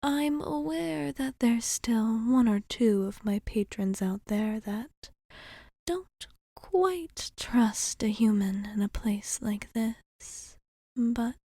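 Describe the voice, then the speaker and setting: Elegant, protective vampire barkeep played by a woman, ASMR roleplay: unhurried, mellow, half-whispered, formal. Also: on the high side, no room sound, exaggerated voice. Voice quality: soft, breathy half-whisper. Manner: measured, formal phrasing with gentle pauses. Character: elegant centuries-old female vampire bartender, calm and protective.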